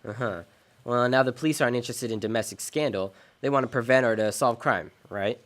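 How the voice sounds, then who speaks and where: Guy doing a hard boiled american detective for a radio play, mid pitch, wry and tired but decent. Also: a little fast, fairly bright tone, natural voice. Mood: businesslike, probing. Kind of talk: 1940s detective radio drama, first-person narration and dialogue.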